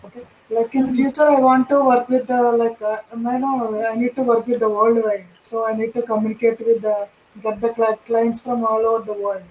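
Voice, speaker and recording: A man with an Indian English accent speaks haltingly over a video call, filling pauses and answering with repeated yeses. Faint noise runs under his voice. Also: very high voice, flat delivery, a little mellow, poor audio. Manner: hesitant, repeats short agreements. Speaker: student, software developer. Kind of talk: casual video-call lesson.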